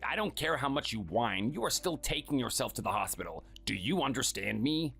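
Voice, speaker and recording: Someone voicing a cold, scornful husband. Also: male voice, medium pitch, sing-song, a little fast, vocal fry, fairly bright tone, breathy edge, faint music behind, exaggerated voice. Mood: contemptuous. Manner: curt, scornful, dismissive. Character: cold, contemptuous workaholic husband.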